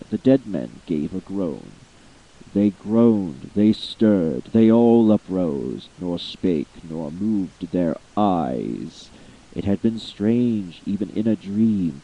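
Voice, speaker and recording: A man giving a dramatic poetry reading as voice-acting practice, expressive and emphatic, lingering on repeated words. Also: low voice, slightly rough, lo-fi sound. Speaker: amateur voice actor reading a poem.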